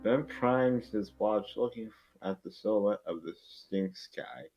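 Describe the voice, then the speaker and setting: A man's voice: fairly low pitch, a little slow, darkish tone, slightly rough, lo-fi sound, soft background music. A man reading out scripted narration as an amateur table read narrator, informally and stumbling over the script.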